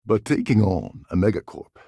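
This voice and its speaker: A weary older pirate captain for a sci-fi video game. His voice sits low and husky, with a dry, close studio sound. He talks in a sardonic, conspiratorial way, like a schemer who has seen it all. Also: very animated, moderate pace, dark tone, no echo, heavily acted. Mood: wry, guarded. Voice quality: gravelly, husky. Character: weary, wry older space pirate posing as ship captain. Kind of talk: video game character dialogue.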